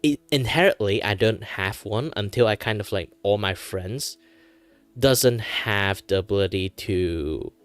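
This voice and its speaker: A man's voice: lowish voice, a little slow, no room sound, faint music behind. A man in his thirties from Malaysia whose first language is Chinese, chatting casually on a drawing stream with lots of fillers and laughs. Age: 30s. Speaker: streamer and aspiring voice actor.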